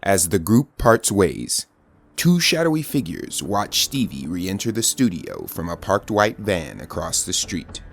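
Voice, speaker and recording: A man as a dramatic storyteller narrating a superhero audio drama. His low, gravelly voice rises and falls with lively intonation. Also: measured pace, heavily acted.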